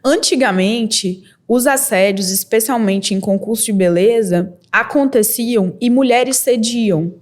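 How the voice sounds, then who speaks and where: A young woman from Brazil, a model and entrepreneur, telling her story as a podcast guest in a candid, lively way with quick bursts and laughs. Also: fairly high pitch. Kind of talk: casual interview storytelling.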